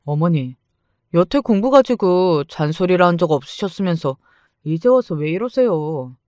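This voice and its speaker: A reluctant teenage boy, grudging but willing, as a man puts him on. His voice is smooth and clear, recorded close in a dry space. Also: high voice, expressive, moderate pace, a little mellow, no echo.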